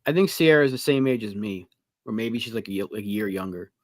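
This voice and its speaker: A man's voice: lowish voice, lively intonation, a little fast, no echo, clean studio sound. A man in his thirties co-hosting a sports podcast livestream, teasing and laughing easily in casual banter. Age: 30s. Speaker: podcast co-host.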